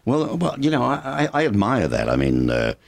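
A man's voice: medium pitch, wildly swooping pitch, normal speed, creaky. An Australian man hosting a talk show interview, joking and teasing his guest. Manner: jokey, playful, teasing. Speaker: host.

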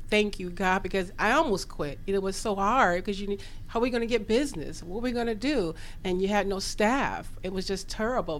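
A woman's voice: medium pitch, slight background noise. A woman answering as an interview guest in a conversational, grateful and emphatic way. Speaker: interview guest, chef and caterer.

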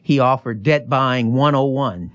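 A middle-aged man from Chicago with an American accent, answering interview questions informally, often halting and restarting. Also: mid-range voice, fairly bright tone. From Chicago, United States. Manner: informal, halting, self-correcting. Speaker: interview guest, finance executive.

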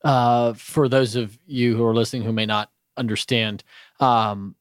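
A man from Bulgaria speaking as a radio guest in a studio, earnest and halting with many restarts. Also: mid-range voice, steady tone, clear, resonant tone, no echo. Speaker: radio show guest, business owner. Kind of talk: casual radio interview.

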